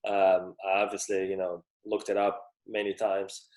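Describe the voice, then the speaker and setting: Low-voiced man, echoey room. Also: completely flat delivery, moderate pace, darkish tone. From Russia. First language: Russian. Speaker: guest, professional tennis player. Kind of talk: casual interview talk.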